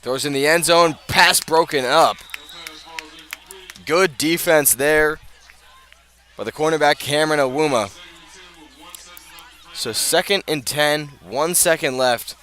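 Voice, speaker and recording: A man calling live American football play-by-play for radio, moving between measured narration and raised, excited calls. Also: a little high. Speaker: play-by-play sports commentator. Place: outdoors at a high school football field. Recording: noisy outdoor stadium, live broadcast.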